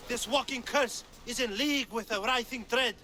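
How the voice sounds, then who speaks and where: A man playing a hostile, righteous and superstitious accuser in a video game cutscene, forceful and ominous. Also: extremely high pitch, moderate pace, full character voice.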